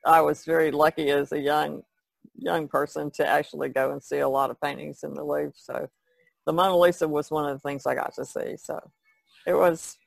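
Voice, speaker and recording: A woman talks casually as a podcast panelist. Her voice has a gravelly creak and is recorded dry, with little room sound. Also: deep voice, steady tone, moderate pace, dry recording, rough recording. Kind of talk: casual chat.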